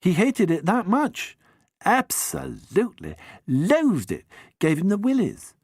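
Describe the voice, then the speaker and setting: A man's voice: high voice, wildly swooping pitch, takes it slowly, gravelly, a little mellow, slightly rough, no room sound, clean studio sound, pitched above the performer's own voice, full character voice. A man voicing a cheerful young who gushes and stammers when caught out. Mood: breezy. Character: jolly young upper-class man, eager and flustered.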